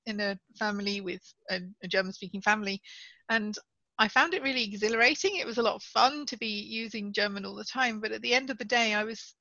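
Woman, podcast host, fairly high voice, clear explanatory manner, dry close mic. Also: pushed and bright, no echo, highs cut off. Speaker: podcast host and English teacher. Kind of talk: podcast interview.

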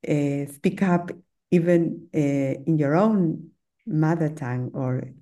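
A woman's voice: low-pitched, slow, dark tone. A middle-aged woman from Argentina with an Argentine Spanish accent, a native Spanish speaker, talking as a podcast guest in a hesitant, chatty way with frequent fillers and little laughs. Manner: hesitant, many fillers and restarts, laughs often. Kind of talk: casual interview chat.

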